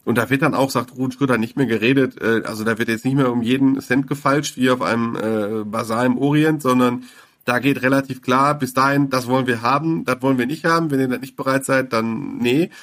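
A man's voice: mid-range voice, steady tone, fairly quick, a bit bright. Chatty male sports reporter on a call. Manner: chatty, many fillers and restarts. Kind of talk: casual podcast interview.

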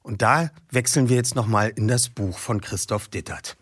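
A man's voice: on the low side, normal speed, slightly rough. A man hosting a podcast and reading passages from a detective novel with dramatic narration. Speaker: host and reader. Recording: faint music behind. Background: faint music.

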